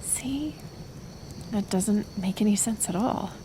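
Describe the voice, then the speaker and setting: A woman playing a kind, flustered, curious healer in an intimate ASMR roleplay, speaking softly and hesitantly. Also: mid-range voice, noisy background, close to an everyday voice. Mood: confused, flustered. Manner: halting, soft, hesitant delivery. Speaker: roleplay audio performer.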